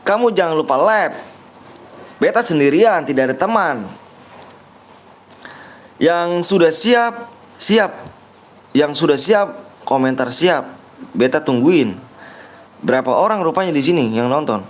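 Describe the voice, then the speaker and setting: Chatty young male game streamer, higher voice. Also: expressive, a little slow, pauses often. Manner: reads viewer comments aloud and banters, pushing likes and subscribes; playful joking persona. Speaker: game livestreamer. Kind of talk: casual live-stream chat.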